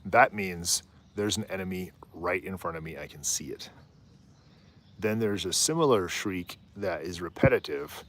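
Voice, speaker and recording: Low-voiced easygoing man. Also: a bit bright, slight background noise. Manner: rambling, easygoing, often trails off and laughs. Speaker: livestream host. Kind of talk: casual live commentary. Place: outdoors on a rooftop.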